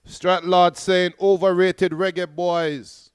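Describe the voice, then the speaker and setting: A man's voice: sits high, level tone, slow pace, smooth, no echo, clean studio sound. A man co-hosting a football watch-along, reading viewer comments aloud and stammering on his first words. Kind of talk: casual watch-along banter.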